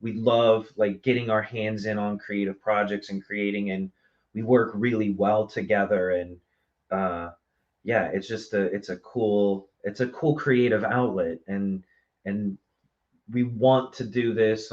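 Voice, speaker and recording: A man's voice: fairly low pitch, dull audio. A man talking casually and at length as a guest in an interview, chatty and enthusiastic about his work. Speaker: interview guest from a small theater company. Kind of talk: casual live interview talk.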